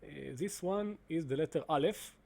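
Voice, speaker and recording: Halting man with a mellow voice. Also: fairly high pitch, creaky. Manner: halting, many fillers and restarts. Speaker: presenter demonstrating software. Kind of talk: screen-recorded explanation.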